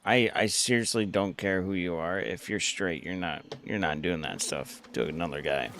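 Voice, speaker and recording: A man's voice: sits low, moderate pace, a bit bright, slight background noise. A middle-aged man streaming games, talking bluntly and rambling, swearing freely as he plays. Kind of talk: casual gaming commentary.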